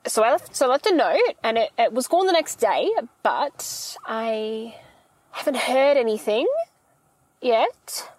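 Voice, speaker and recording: Actress as anxious young female shop employee, high voice, polite and rambling, hesitant naturalistic audio-fiction delivery. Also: animated, unhurried, darkish tone, no room sound, low-quality recording. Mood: uneasy, rambling. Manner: hesitant, rambling, nervous stammering and self-correcting.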